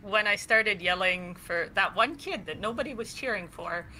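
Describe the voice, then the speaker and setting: A woman's voice: medium pitch, normal speed, low-quality recording. A woman from Canada, co-hosting a podcast in casual chat, talking freely and laughing often. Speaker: podcast co-host.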